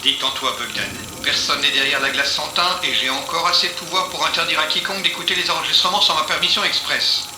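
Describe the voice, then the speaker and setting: Casting: male actor as sardonic intelligence officer, commanding, higher-pitched, roomy sound over music. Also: fast, hardly any pauses, background noise, close to an everyday voice. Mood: cynical, smooth. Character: cynical, commanding male police intelligence interrogator. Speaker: actor in an audio drama. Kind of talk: French audio fiction acting. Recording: roomy echo, music underneath.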